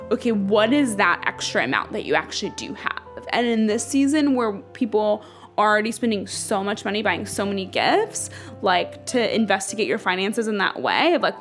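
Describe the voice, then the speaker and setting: A woman's voice: mid-range voice, fairly bright tone. Young woman talking, full of "like". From Ethiopia. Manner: earnest, says "like" constantly. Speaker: interviewee. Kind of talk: casual reflective chat.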